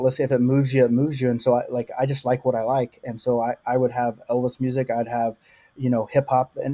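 A man's voice: mid-range voice, mellow. A man from the US South with a light Oklahoma accent, a guest who answers in an earnest, rambling way. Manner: rambling, earnest, lots of likes. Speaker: podcast guest. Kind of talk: casual interview.

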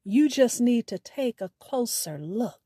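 A woman hosting a storytelling podcast, speaking in a measured, deliberate way as if from a script, with a poetic touch. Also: fairly high pitch, lively intonation, warm tone, husky, no room sound, studio quality. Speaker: podcast host. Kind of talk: scripted radio show sign-off with spoken poetry.

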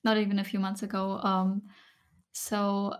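A young woman whose first language is German speaks as a guest on a podcast, her pitch staying flat and level. She talks hesitantly, with frequent ums and restarts. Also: a little slow, clear, resonant tone. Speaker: podcast guest, ASMR creator. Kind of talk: casual interview chat.

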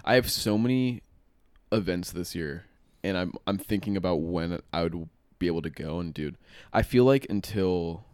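A man's voice: low-pitched, normal speed, darkish tone, smooth, no echo. A man in his twenties hosting a podcast in casual chat, laid-back, swearing often and repeating himself. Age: 20s. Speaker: podcast host.

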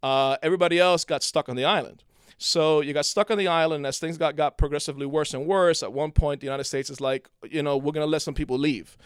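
A man's voice: on the high side, fairly even intonation, quick pace, smooth, dry recording, studio quality. A middle-aged man from Cuba in a casual conversation, telling a long story with many restarts and repeated words.

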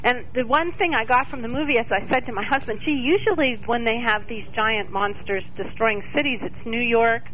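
A woman's voice: a little high, a little fast, hardly any pauses, a bit bright, lo-fi sound, a little hiss or hum. A woman calling in to a talk radio show over the phone, giving her opinions in an outspoken, conversational way.

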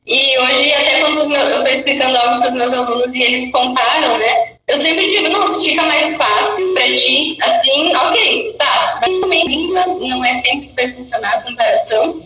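A woman's voice: high voice, moderate pace. A woman who teaches English, talking in a thoughtful, hesitant way with many restarts during a live interview chat. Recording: echoey room, far from mic, faint music.

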